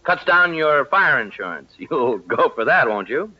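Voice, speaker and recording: A man's voice: mid-range voice, very animated, measured pace, husky. An actor playing a sly, money-hungry contractor with folksy salesman patter in an old-time radio comedy. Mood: smug, persuasive. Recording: muffled, noisy old radio recording.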